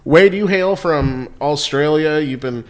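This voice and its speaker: A man's voice: on the high side, a little slow. A man hosting a casual podcast interview, asking friendly, easygoing questions. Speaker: podcast host.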